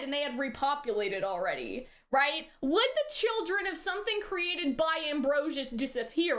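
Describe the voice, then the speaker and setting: Very high, bright, excitable woman. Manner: excitable, rambling, emphatic. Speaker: co-host of a reaction show. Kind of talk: casual animated discussion.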